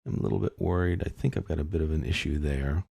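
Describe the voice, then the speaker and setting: Male tutorial instructor, deep and husky, informal, explains each step as he goes. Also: quick pace, dark tone, dry recording, studio quality. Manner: informal, explanatory, thinking aloud. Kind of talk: unscripted step-by-step screen tutorial narration.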